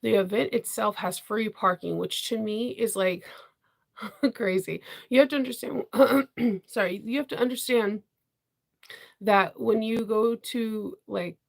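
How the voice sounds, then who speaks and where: Congested woman host, chatty, rambling, gravelly edge. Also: fairly high pitch, measured pace. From Washington DC area. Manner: chatty, rambling, laughs often. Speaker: sewing channel host. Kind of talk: casual live chat with viewers.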